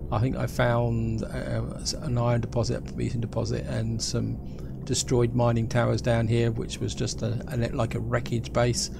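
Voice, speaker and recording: A man with a cold talks through a game in a relaxed way, explaining each move as he goes. His voice is gravelly and sits in a middling pitch. A loud rumble of engines from the game runs under everything he says. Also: normal speed. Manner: relaxed, explains step by step, often restarts phrases. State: has a cold. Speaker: gameplay video host. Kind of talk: casual gameplay commentary. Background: loud vehicle and engine rumble from the game.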